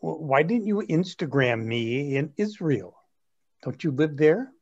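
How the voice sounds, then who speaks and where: In slightly lo-fi sound, a self-absorbed grandson in his twenties played by a man talks with eager earnestness. His intonation is very lively, suited to a comic stage reading. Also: fairly high pitch, measured pace, heavily acted. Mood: puzzled, curious. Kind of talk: reader's theatre comedy.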